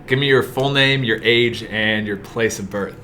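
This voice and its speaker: A man's voice: lowish voice, normal speed, bright, forceful tone, slight background noise. A man hosting a podcast, chatting informally as he interviews his guest. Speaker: podcast host. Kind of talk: casual conversational interview.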